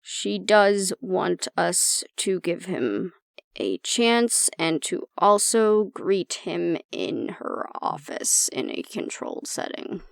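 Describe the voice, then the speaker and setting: A woman playing an anxious young woman with a tough front and a soft core, confiding casually and with swearing in an intimate audio roleplay. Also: fairly low pitch, animated, slow, vocal fry, dry recording, clean studio sound, heavily acted. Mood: worried, affectionate.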